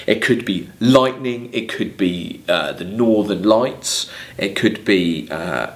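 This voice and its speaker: A man in his thirties with an English accent, lecturing on literature, reading verse aloud and explaining it in hesitant, exploratory phrases. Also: on the low side. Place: small plain room, seated at a desk.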